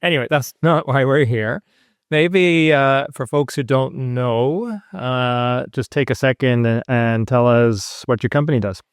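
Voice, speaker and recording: A man's voice: medium pitch, unhurried, clean tone, no room sound. Chatty male podcast host, dry close sound. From Scotland. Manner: friendly, chatty, self-deprecating jokes. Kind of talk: interview podcast, casual chat.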